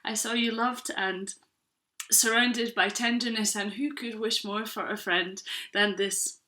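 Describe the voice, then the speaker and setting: A woman's voice: medium pitch, steady tone, measured pace, sharp tone. A woman in her twenties from Scotland performing a spoken word poem with earnest, rhythmic delivery. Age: 20s.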